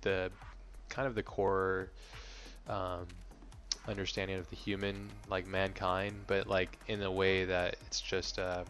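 Laid-back, low-voiced male podcast host. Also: flat delivery, a little slow, a bit bright, faint music behind. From Hawaii. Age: 30s. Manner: swears freely, laughs often, rambling. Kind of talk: casual chat.

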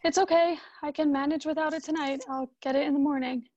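A woman's voice: high voice, fairly even intonation, fast, a little mellow, dull audio, natural voice. A woman playing a hurt, resentful wife in her thirties in a radio drama, with a clipped, restrained delivery. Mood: strained politeness. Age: 30s.

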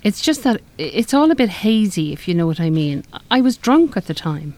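A woman's voice: medium pitch, sing-song, brisk, a little mellow, close to an everyday voice. A woman playing a guarded, wry survivor of violence in a live radio play. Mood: evasive, wry. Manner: evasive, wry.

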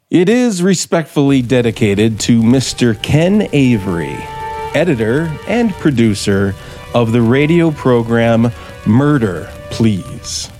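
A bitter, sardonic American writer in a 1950s radio drama. He is intense and deliberate, speaking slowly with big swings of pitch and seething resentment. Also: darkish tone. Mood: cold, deliberate. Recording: vintage 1950s radio recording.

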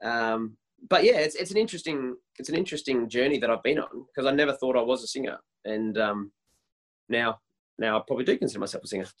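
A man in his thirties with a higher voice, a worship leader talking casually and eagerly in an interview, often restarting his sentences. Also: quick pace, fairly bright tone. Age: 30s. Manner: enthusiastic, many restarts and repeated words.

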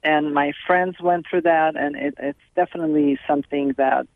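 A middle-aged woman from Serbia, speaking as a guest over the phone in an earnest, halting way with many restarts. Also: low voice, fairly even intonation, dry recording. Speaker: film director and guest. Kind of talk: phone interview. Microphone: telephone. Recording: tinny dry phone line.

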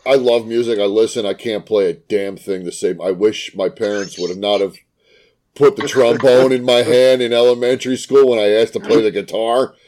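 A man with a smoker's voice hosting a casual podcast chat, swearing freely and telling animated stories. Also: fairly low pitch, normal speed. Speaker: podcast host.

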